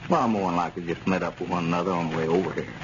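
An actor playing a reasonable, plain-spoken small-town peacemaker in a 1930s radio comedy. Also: male voice, sits low, racing, close to an everyday voice. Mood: earnest, reasoning. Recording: scratchy, muffled 1930s radio recording.

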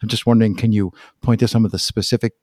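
Low-pitched man interviewing, close dry sound. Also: fairly even intonation, very fast, a little mellow, no echo. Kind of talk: thoughtful conversational interviewing.

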